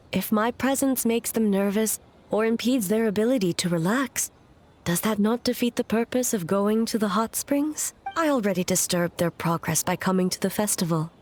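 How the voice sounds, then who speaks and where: A woman voicing a stoic, aloof immortal shogun in a video game, speaking in a formal, grave and measured way over music. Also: medium pitch, darkish tone, a little hiss or hum, natural voice. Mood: solemn, resolute. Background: background music.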